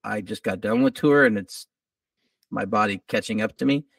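A middle-aged musician guest talking casually in a laid-back way, a little groggy. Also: male voice, on the low side, moderate pace, creaky, breathy edge, no echo, clean studio sound, far from the mic. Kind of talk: casual interview.

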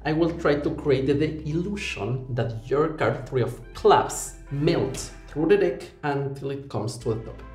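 A man's voice: mid-range voice, animated, moderate pace, hardly any pauses, a little mellow. A man in his thirties with an Ecuadorian accent, speaking as a playful magician giving live close-up patter. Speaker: sleight-of-hand magician. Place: small indoor performance stage. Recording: echoey room with music behind.

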